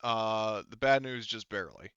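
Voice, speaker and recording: A man's voice: lowish voice, normal speed, sharp tone, clean tone, no echo, dull audio. A man with a clean, resonant voice runs a tabletop roleplaying game in an informal, explanatory way. He swears casually as he talks. The sound is dry, with little room on it, and slightly dulled in the top end. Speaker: game master.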